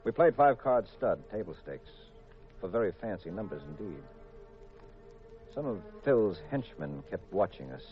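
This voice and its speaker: A cool, wry gambling sleuth from a 1950s radio mystery. His voice sits low and his delivery is dry and understated. The old recording is muffled, with a little music underneath. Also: sing-song, moderate pace. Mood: tense narration. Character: cool, wry male amateur detective and gambler. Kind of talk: 1950s radio mystery acting, with first-person narration.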